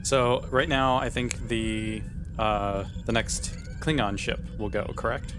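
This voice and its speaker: A man's voice: medium pitch, takes it slowly. Male player, mid-range voice, informal tabletop game chat, noticeable background noise.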